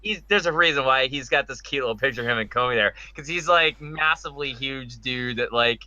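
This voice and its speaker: Bright, forceful-voiced man chatting casually. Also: mid-range voice, a little fast, dry recording, lo-fi sound. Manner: animated joking, rambling with slang. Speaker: podcast co-host. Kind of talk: casual group chat.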